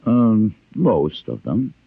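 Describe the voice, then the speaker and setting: An actor playing a commanding, sardonic older man in 1950s radio drama, speaking with deliberate, theatrical condescension. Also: fairly low pitch, big pitch swings, vocal fry, breathy edge, dry recording, exaggerated voice. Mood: probing. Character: commanding older male rebel revealing forbidden truths, patient, sardonic. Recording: old narrowband radio recording with music.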